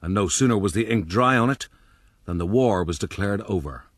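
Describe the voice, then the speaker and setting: A man's voice: on the low side, animated, fairly quick, dry recording, clean studio sound. An actor playing a rueful, boastful soldier-rogue, narrating his own life in a radio drama.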